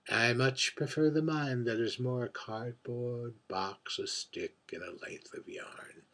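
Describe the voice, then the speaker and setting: A man playing a world-weary, wry drag performer in a theatrical monologue, confiding and deliberate, moving between hushed murmurs and emphatic phrases. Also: medium pitch. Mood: wry, musing. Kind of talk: theatrical dramatic monologue read by its author.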